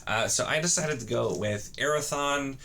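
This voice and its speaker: A man chatting casually to camera as a book vlogger, in a halting, rambling way with frequent false starts. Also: medium pitch, bright, forceful tone, a little hiss or hum. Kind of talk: casual chat to camera about books.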